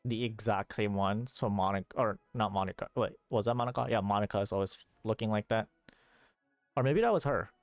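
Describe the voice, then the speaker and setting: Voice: mid-range voice, brisk, no room sound. A young adult streamer giving casual, joking and reactive commentary over a video game, recorded on a close mic. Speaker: live streamer.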